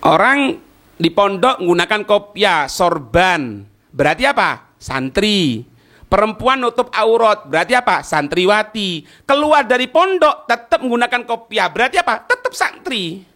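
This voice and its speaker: Male Islamic teacher, East Javanese Indonesian accent. High-pitched, sharp delivery, didactic, question-and-answer explanations of a text. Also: very animated, a little slow. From East Java, Indonesia. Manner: didactic, asks rhetorical questions and checks understanding. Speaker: Islamic teacher giving a religious study class. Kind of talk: religious lesson reading and explaining an Arabic text line by line.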